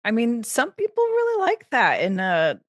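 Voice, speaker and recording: A woman's voice: fairly high pitch, huge pitch swings, normal speed, no room sound. A woman co-hosting a podcast in casual chat, playful and teasing, laughing easily. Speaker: podcast co-host.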